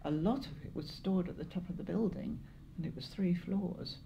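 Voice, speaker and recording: An older English woman in her seventies or beyond, reminiscing in an interview in a measured, halting way with pauses. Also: on the low side, gravelly, lo-fi sound, a little hiss or hum. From Lancashire, northwest England. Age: 70s or older. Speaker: interviewee, longtime community volunteer. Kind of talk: oral history interview, reminiscing.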